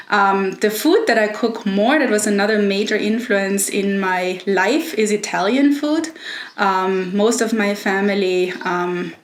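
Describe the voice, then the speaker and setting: A middle-aged woman from Austria with an Austrian accent, a native German speaker, explaining things articulately in an informal recorded talk. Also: mid-range voice. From Innsbruck, Austria. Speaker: interviewee. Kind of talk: informal recorded talk about personal food history. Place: room at home. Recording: echoey room with faint background noise.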